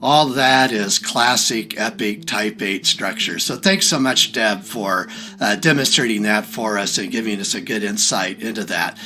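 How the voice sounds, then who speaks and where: A man's voice: fairly low pitch, measured pace, pushed and bright, faint music behind. A man hosting a conversational podcast, affirming his guest and explaining points to listeners. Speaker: podcast host. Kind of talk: conversational interviewing and wrap-up commentary.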